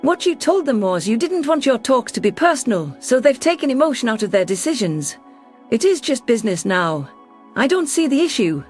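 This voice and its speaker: A woman's voice: fairly high pitch, big pitch swings, moderate pace, a little mellow, soft background music. A woman voicing a defiant, protective female alien ambassador, telling her story in a sci-fi audio drama with earnest assertiveness. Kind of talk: science fiction audio drama, first-person narration and dialogue.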